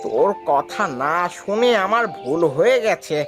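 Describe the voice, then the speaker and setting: Cartoon village farmer, boastful rival type, high-pitched male performer. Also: big pitch swings, a little slow, music in the background. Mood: regretful. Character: boastful village farmer Ganesh, competitive and taunting. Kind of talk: Bengali animated moral tale dialogue.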